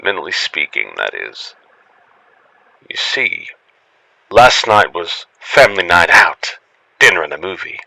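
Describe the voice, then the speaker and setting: A man narrating a scripted first-person story in a dramatic, expressive storytelling manner. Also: fairly low pitch, dry recording, rough recording. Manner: expressive storytelling, acts out the lines.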